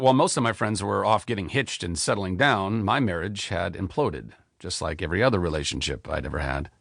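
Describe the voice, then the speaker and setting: A man in his forties reading his own memoir aloud as an audiobook, in a candid, expressive storytelling manner. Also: creaky, no room sound, clean studio sound. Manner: expressive, candid storytelling with wide pitch swings.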